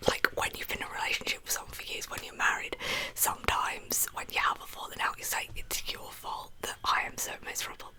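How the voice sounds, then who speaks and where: A woman narrating in a breathy, unvoiced whisper as an ASMR storyteller, with chatty, informal asides. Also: poor audio. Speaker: host of a true crime ASMR channel.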